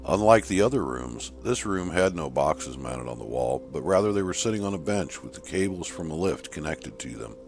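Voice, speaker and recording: Deep-voiced man narrating a horror story. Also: lively intonation, normal speed, hardly any pauses, soft background music. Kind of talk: scripted audiobook narration.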